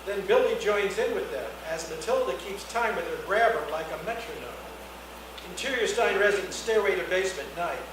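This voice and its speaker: A man's voice: high voice, expressive, a little fast. A man reading screenplay action lines aloud as the narrator at a scene reading. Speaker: narrator of stage directions. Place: indoor reading before an audience, far mic. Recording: very echoey room, distant mic, noisy.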